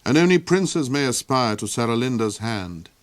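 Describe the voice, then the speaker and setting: A man's voice: mid-range voice, expressive, normal speed, no room sound, lightly acted. A man voicing an earnest, anxious young minstrel in a storybook, sincere and plaintive.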